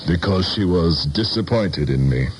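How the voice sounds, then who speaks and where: Deep-voiced, brooding old politician. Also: male voice, expressive, unhurried, slight background noise. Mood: brooding, guarded. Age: 60s. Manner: pensive, formal, rhetorical. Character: sixty-year-old male politician, weary, brooding, self-doubting. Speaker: actor in a radio play. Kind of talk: 1970s radio drama acting. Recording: vintage radio broadcast, music behind.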